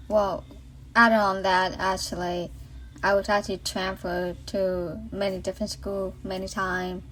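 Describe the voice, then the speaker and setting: A woman in her late thirties with a Boston accent tells her own story into a phone. She talks earnestly at a middle pitch, rambling, restarting sentences and filling pauses. A faint hiss sits behind her voice. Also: rough recording. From Boston area, USA. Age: late 30s. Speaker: vlogger sharing her faith testimony. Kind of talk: casual spoken testimony. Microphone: iPhone.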